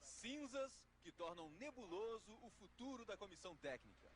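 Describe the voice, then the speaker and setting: Brazilian man, scripted sports report voice-over, notably high-pitched. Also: sing-song, moderate pace. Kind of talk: scripted news report narration.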